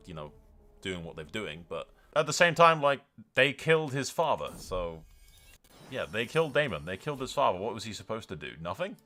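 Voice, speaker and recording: Sweary man commentating a game, bright voice. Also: medium pitch. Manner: sweary, emphatic, rambling, given to theatrical declarations. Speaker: gaming content creator and host. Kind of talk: excited gameplay commentary with in-character roleplay.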